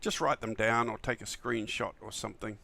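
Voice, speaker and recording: A man with a croaky, just-woken voice, chatting casually and enthusiastically as a friendly flight simulator streamer who rambles a little. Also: fairly low pitch, fairly even intonation, fairly quick, pushed and bright. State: early-morning croaky voice, still waking up. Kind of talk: casual livestream chat.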